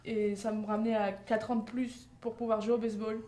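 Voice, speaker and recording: A woman's voice: fairly high pitch, flat delivery, fairly quick, warm tone. A young woman from France, answering interview questions fluently. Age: young adult. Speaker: guest, softball player.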